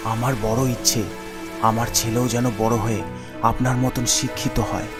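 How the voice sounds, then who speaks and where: A man's voice: medium pitch, lightly acted. A man playing the sorrowful ghost of a devoted village father, pleading in a cartoon. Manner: pleading, mournful, drawn-out. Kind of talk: Bengali cartoon story acting.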